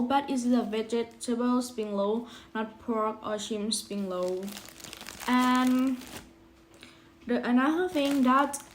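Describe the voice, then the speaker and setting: A woman speaks in a fairly high voice at a very slow pace. She talks casually to viewers in a food vlog, picking simple phrases with some hesitation. Faint noise sits behind her. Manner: hesitant, simple non-native English phrasing. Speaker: vlogger.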